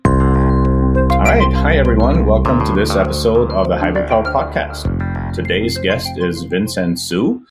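Low-voiced male podcast host. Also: unhurried, over loud music. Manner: relaxed, thinks aloud at length. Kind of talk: podcast interview hosting.

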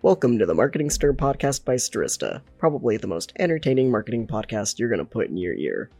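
A man, the show's producer, reading a scripted podcast intro in a smooth, announcer-like way. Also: mid-range voice, very animated, quick pace, a little mellow, dry recording. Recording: dry close sound with faint music behind.

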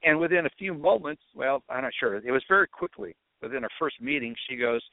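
A man's voice: medium pitch, animated, a little fast, vocal fry. Middle-aged male podcast host, chatty and meandering, thin phone-quality sound with no room echo. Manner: rambling, conversational storytelling. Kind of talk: casual monologue. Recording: tinny phone-like dry audio.

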